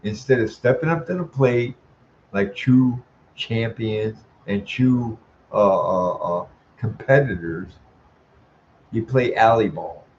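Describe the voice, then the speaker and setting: A man ranting in a profane monologue, ending sentences with "man," chuckling, and stalling on drawn-out "uh" sounds. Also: lowish voice, a little mellow, dull audio, slight background noise. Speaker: vlogger.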